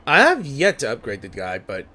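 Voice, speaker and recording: A man's voice: mid-range voice, wildly swooping pitch, normal speed, sharp tone, slight background noise. A man giving casual, playful gameplay commentary as a gaming host, chatting and interjecting as he reacts.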